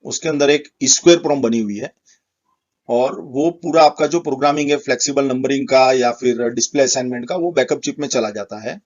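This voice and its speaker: A man's voice: medium pitch, brisk, darkish tone, lo-fi sound. A man giving a methodical, step-by-step technical tutorial as an instructor. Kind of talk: step-by-step product tutorial explanation.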